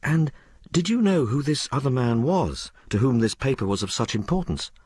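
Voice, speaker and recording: A man's voice: mid-range voice, big pitch swings, moderate pace, a little mellow, husky, no room sound, clean studio sound, pitched above the performer's own voice. A man voicing a genteel young lady in an audiobook, speaking properly, earnestly and firmly. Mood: firm, probing. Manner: proper, earnest, insistent questioning. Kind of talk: audiobook character dialogue.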